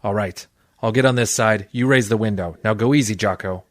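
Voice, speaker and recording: An actor playing a wisecracking, world-weary private detective in an old-time radio drama, deadpan and sardonic. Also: male voice, fairly low pitch, brisk, slight background noise, natural voice. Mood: brisk, wary.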